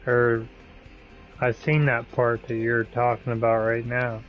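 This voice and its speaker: A man's voice: medium pitch, monotone, measured pace. A man co-playing on a game stream, chatting casually in a laid-back, hesitant way. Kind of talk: casual gaming chat. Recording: dull lo-fi voice chat, faint music.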